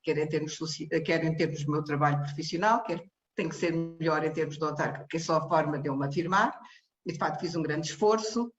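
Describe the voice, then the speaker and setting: A woman's voice: sits low, a little fast, music in the background. A woman from Cape Verde gives a personal testimony in a hesitant way, circling back with fillers and restarts. Her voice comes through muffled and dull, with a roomy echo around it. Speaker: technical director of a social care charity. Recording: echoey, muffled room sound.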